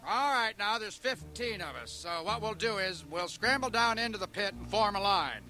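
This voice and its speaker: An actor playing a bossy, plain-spoken country policeman in a radio drama, talking in a loud, folksy, commanding way. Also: high voice, lively intonation, normal speed, soft background music, exaggerated voice.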